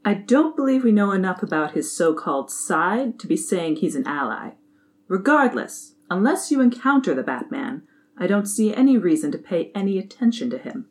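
A woman playing a stern male police captain in a formal, deliberate, deadpan way. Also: medium pitch, natural voice. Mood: formal, dismissive.

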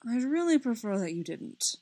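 Female reader as reserved male mentor figure in story narration, soft-spoken, dry, formal and understated. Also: fairly high pitch, big pitch swings, voice pushed up. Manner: quiet, measured, formal, understated. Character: restrained, dry, self-reproaching man, quiet loyal friend.

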